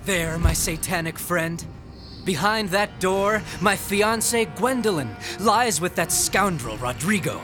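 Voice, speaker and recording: High-pitched, pompous gothic avenger. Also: male voice, lively intonation, measured pace, over music. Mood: cold menace. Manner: pompous, theatrical, archaic diction. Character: vengeful melodramatic gothic villain commanding a demon. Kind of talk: gothic horror audio drama.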